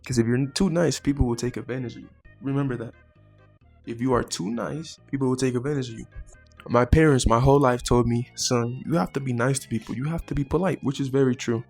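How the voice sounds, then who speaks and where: A teenage boy from Mexico hosts his own vlog and talks casually to the camera. His voice has a gravelly, creaky edge, and he sits in a middling pitch range. He speaks in a laid-back, chatty way, repeating words and leaning on fillers. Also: quick pace, a little mellow, faint music behind. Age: teens. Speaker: vlogger host.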